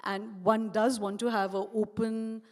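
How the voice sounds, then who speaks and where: A woman's voice: medium pitch, level tone, unhurried. A woman with an Indian English accent, moderating a stage conversation and asking thoughtful, long winding questions. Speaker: interviewer, moderator. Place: stage at a live event, large hall. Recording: hall reverb.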